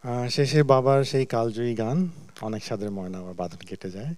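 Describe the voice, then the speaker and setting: A man's voice: medium pitch, fairly quick, darkish tone. Bangladeshi man, modest guest singer. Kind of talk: polite, modest interview talk.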